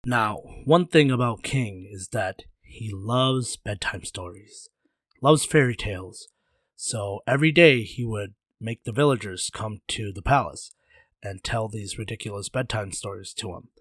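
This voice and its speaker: A man's voice: on the low side, a little slow, fairly bright tone, dry recording, studio quality. A man telling a folktale as a storyteller in a casual, conversational way, with playful slang.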